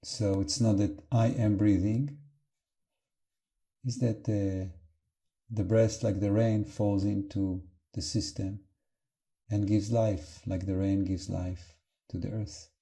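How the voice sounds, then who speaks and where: A man leading an unscripted guided meditation as a teacher, calm and gentle, with halting phrases and long pauses. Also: fairly low pitch, gravelly.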